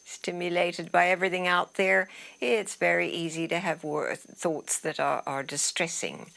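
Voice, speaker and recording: A woman teaching yoga nidra, guiding relaxation in a soothing, unhurried way that often trails off into breathy softness. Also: on the low side. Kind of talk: guided relaxation meditation instruction.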